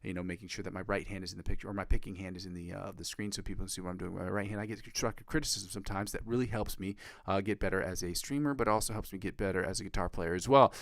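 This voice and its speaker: A man's voice: fairly even intonation, very fast, vocal fry, few pauses, no echo, clean studio sound. A congested man live streaming casually as a guitar streamer, rambling with lots of fillers. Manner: rambling, filler-heavy, upbeat pitch to viewers. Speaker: guitar livestreamer.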